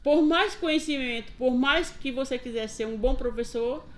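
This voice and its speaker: A woman's voice: high-pitched, fairly even intonation, moderate pace. Older Northeast Brazilian woman, retired teacher. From Paraíba, Brazil. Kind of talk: casual interview, recounting memories.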